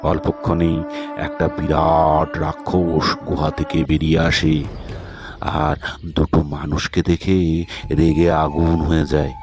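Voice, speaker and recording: Deep, slow-telling storybook narrator man. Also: music in the background. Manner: steady, unhurried storytelling.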